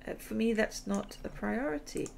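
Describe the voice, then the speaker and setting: A woman's voice: on the high side, moderate pace, vocal fry, a little mellow, a little hiss or hum. A middle-aged woman hosting a gaming channel, chatting in a rambling way and laughing often while she loses her voice. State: losing her voice. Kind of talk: casual chat over gameplay.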